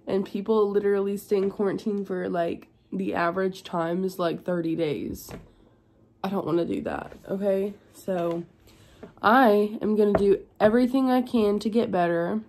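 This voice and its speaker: A young woman, hoarse and congested from being sick, chatting tiredly in a home vlog. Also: fairly even intonation, moderate pace, darkish tone, slight background noise. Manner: tired, low-energy, chatty. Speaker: vlogger. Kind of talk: casual vlog chat. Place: at home indoors.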